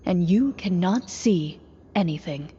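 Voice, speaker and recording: A woman playing a composed young hunter who narrates as game master in a formal, measured, dramatic style. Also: lowish voice, animated, warm tone, a little hiss or hum. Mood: solemn, measured. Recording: muffled game audio with background music.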